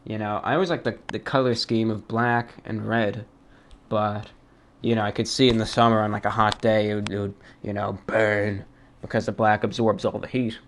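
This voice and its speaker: A man hosting a fan mail show, talking off the cuff and reading aloud in a deliberate, measured, slightly formal way. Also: lowish voice, flat delivery, slight background noise. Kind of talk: unscripted talk, reading and answering listener mail.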